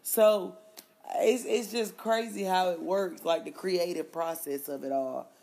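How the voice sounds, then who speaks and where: A woman with a stuffy nose talks easily on a podcast. Her voice sits fairly low, and her speech is slangy and relaxed, broken up by frequent laughs. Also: a little slow, vocal fry. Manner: laid-back, slangy, laughs often. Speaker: podcast co-host. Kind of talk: casual chat.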